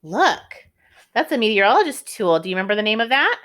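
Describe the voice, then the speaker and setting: Animated woman reading to young children. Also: a little high, a little fast. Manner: expressive, asks listeners questions. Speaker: storybook reader, teacher. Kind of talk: read-aloud storytelling for young children.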